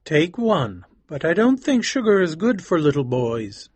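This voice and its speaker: Man acting young American gentleman, cheerful, courteous, friendly, storybook dialogue, dry recording. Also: a little high, big pitch swings, a little slow, slightly rough, no echo, full character voice. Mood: polite, cheerful. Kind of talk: graded audiobook character dialogue.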